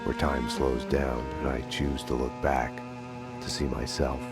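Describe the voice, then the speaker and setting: Grim noir game detective, super deep flat voice, brooding, music under it. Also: male voice. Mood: brooding, somber. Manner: slow, brooding, poetic noir delivery. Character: grim, world-weary male detective narrating his past. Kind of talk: scripted noir narration.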